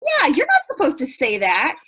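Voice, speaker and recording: A woman's voice: high voice, wildly swooping pitch, normal speed, pushed and bright, exaggerated voice. A woman playing an anxious, naive young character who rambles nervously in a staged play reading. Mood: correcting.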